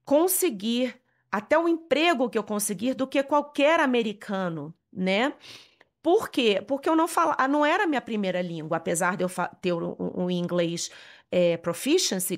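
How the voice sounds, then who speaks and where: A Brazilian woman with a Brazilian Portuguese accent, casually explaining astrology, often adding "né?", restarting words and slipping in English terms. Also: fairly high pitch. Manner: frequent "né?" tags, restarts, mixes English words.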